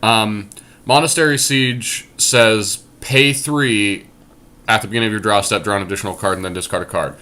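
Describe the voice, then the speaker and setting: A man's voice: lowish voice, steady tone, normal speed, sharp tone. A man co-hosting casual gameplay commentary, thinking aloud and explaining his choices as he goes. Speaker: podcast co-host and card game player.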